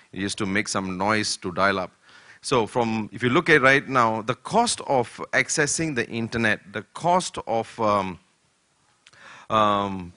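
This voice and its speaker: An older man from Malaysia giving a conference keynote talk, speaking slowly and deliberately with many fillers. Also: fairly low pitch, pushed and bright, dry recording. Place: conference hall.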